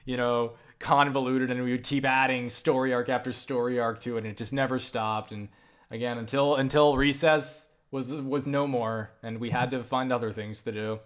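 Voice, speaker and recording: A man's voice: medium pitch, normal speed. A young man answering viewer questions in a casual vlog, rambling and swearing, with many restarts. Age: young adult. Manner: rambling, profane, animated with frequent restarts.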